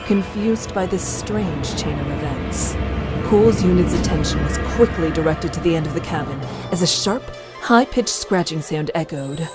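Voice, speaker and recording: A woman's voice: low voice, animated, normal speed. A woman reading scripted story narration for a visual novel. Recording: loud music behind the voice. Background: music.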